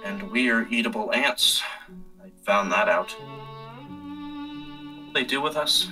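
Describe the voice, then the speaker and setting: A man's voice: medium pitch, expressive, takes it slowly, music in the background, poor audio. A man playing an earnest, weary, uneasy survivor in a radio play, speaking with a dramatic, hesitant delivery. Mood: alarmed, despairing. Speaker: actor narrating in a radio play. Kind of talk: radio drama narration and dialogue.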